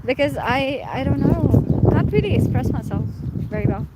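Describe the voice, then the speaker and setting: A woman's voice: high-pitched, expressive, moderate pace, heavy background noise. A young woman, a native Urdu speaker, chatting casually and laughing at herself on a live stream from her phone while she walks outside at night. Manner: chatty, laughing, self-teasing, switches into Hindi and Punjabi. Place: outdoors at night, walking around her building.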